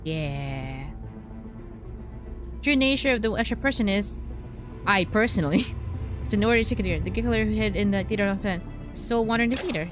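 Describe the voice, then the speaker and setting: A woman's voice: medium pitch, lively intonation, moderate pace, long pauses, over music. Woman streamer, casual and thinking aloud. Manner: thinking aloud in broken, trailing phrases. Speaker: streamer playing a game. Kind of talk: casual gameplay commentary, reading clues aloud.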